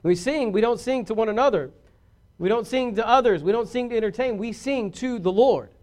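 A pastor preaching a sermon, shifting from quiet confiding asides to loud emphatic declarations. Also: male voice, very high-pitched, a little fast.

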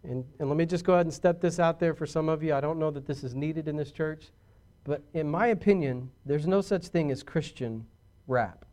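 Man, pastor; high-pitched voice, preachy and emphatic, swinging between soft asides and loud calls. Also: fairly quick. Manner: preachy, rising to emphatic declarations. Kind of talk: sermon.

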